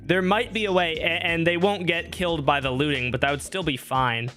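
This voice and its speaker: Bright-voiced young man streaming a game. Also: a little high, a little fast. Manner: rambling, self-joking, thinks aloud. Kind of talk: casual live-stream gameplay talk. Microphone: streaming microphone.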